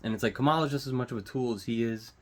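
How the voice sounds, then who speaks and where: A man's voice: mid-range voice, very fast. An American man giving an unscripted, blunt opinion rant, swearing heavily and repeating phrases for emphasis. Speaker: vlogger giving commentary.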